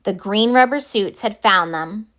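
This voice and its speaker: A woman reading a children's picture book aloud in deliberate, expressive narration. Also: medium pitch. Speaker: storybook reader.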